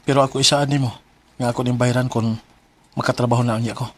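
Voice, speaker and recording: A man's voice: mid-range voice, flat delivery, quick pace, close to an everyday voice. An actor with a Cebuano Filipino accent playing a devoted, emotive young male student in a radio drama. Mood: humble, grateful. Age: young adult. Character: devoted young male student, working to help his ailing mother.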